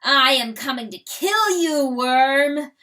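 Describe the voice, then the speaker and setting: A woman's voice: high voice, expressive, slow, pushed and bright, voice pushed up, heavily acted. A woman voicing a noble male rooster lord who speaks in ringing, declamatory tones. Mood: commanding. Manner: ringing, declamatory crowing speech.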